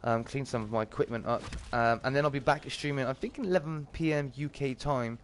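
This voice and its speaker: Slightly croaky British man chatting on stream. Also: mid-range voice, brisk, slight background noise. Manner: chummy greetings to viewers. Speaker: live streamer and host. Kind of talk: casual livestream chat with viewers.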